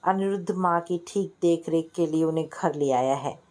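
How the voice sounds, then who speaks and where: A woman's voice: low-pitched, moderate pace, a little mellow, close to an everyday voice. A woman voicing a young male student in a story, a blunt, loyal friend with a firm, matter-of-fact manner. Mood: earnest.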